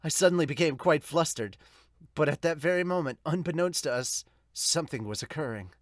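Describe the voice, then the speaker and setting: A man's voice: a little high, brisk, no echo, clean studio sound, natural voice. A man voicing an earnest, polite, easily flustered young woman detective in an amateur manga dub. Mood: narrating.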